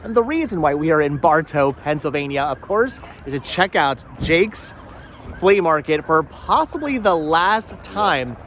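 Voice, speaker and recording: Male vlog host, fairly high voice, upbeat and chatty, casual walk-around delivery, light outdoor noise behind. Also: sing-song, a little slow, fairly bright tone. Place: outdoor flea market on a cold day. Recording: faint outdoor background noise.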